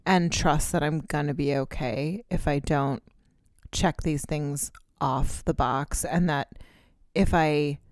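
A woman's voice: low-pitched, takes it slowly, darkish tone, no echo, clean studio sound. A woman, co-hosting a podcast in casual chat, candid and rambling with casual swearing. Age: 30s. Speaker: podcast co-host. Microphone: close podcast mic.

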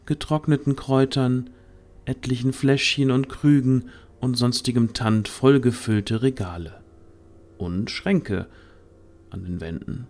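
A man's voice: medium pitch, a little slow, darkish tone. A man narrating a fantasy audio drama as a scripted storyteller.

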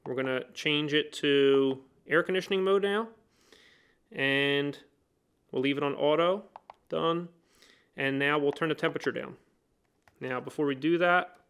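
A man with a somewhat raised, smooth and clear voice teaches new technicians at a workbench. He explains each step in plain words and links the steps with "so" and "now.". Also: fairly high pitch, fairly bright tone. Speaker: HVAC trainer. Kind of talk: hands-on technical demonstration. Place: indoor workbench.

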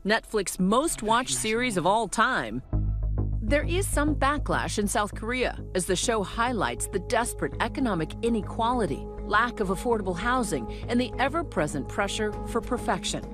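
A woman's voice: a little high, animated, normal speed. A woman reading a scripted news feature as a reporter's voice-over. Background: music under the voice.

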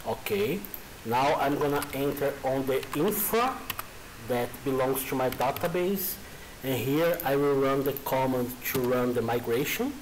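A Brazilian man, a Portuguese speaker, talking through a software tutorial in hesitant English with a Brazilian accent and frequent fillers. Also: mid-range voice, lively intonation, a little hiss or hum, poor audio. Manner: halting, informal, many uh fillers. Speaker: software developer. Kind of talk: screen-recorded coding tutorial.

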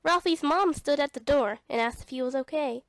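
A woman narrating a read-along children's storybook in an expressive, dramatic way. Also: high voice, moderate pace, no room sound, clean studio sound.

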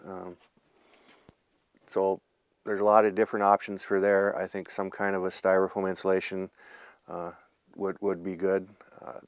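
A man's voice: low voice, monotone, moderate pace, long pauses, clean tone, dry recording. A man casually explaining problems in a small basement room, hesitating often with ums and uhs.

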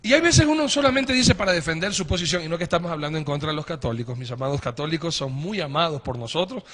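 A middle-aged man hosting a religious programme, explaining emphatically to viewers with drawn-out stresses and rhetorical questions. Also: a little high, expressive, a bit bright. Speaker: host of a religious panel programme. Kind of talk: preaching-style explanation to viewers. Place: wood-panelled indoor studio or meeting room. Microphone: handheld microphone. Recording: very echoey room.

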